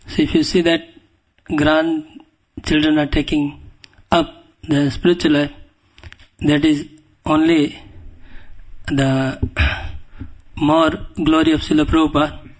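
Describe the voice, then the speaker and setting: A man with an Indian accent giving a devotional scripture lecture as a guest preacher, speaking humbly and haltingly and often clearing his throat. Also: a little high, low-quality recording. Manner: humble, deferential. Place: speaking at a temple.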